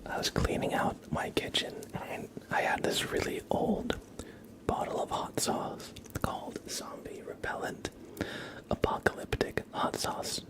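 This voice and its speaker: A man doing casual ASMR live-stream chat in a soft, whispery, hushed voice, rambling informally. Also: unhurried, poor audio.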